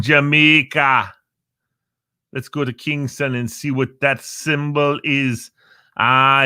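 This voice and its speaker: A man's voice: mid-range voice, pushed and bright, dry recording, clean studio sound. A man hosting a casual show, rambling playfully with jokey asides about times and weather. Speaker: show host. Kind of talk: casual live show chat. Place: Northern Virginia.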